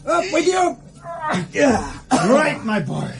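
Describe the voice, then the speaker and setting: Creaky, high-pitched urgent crook. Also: male voice, wildly swooping pitch, slow pace, a crowd in the background, heavily acted. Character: urgent criminal bossing his accomplice. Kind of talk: radio drama acting. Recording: noisy, somewhat distant.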